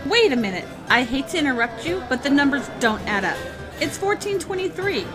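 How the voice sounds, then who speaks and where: A woman's voice: high voice, very animated, a little fast. A woman playing a blunt, sceptical adventurer in a comic fantasy audio drama. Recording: music behind the voice.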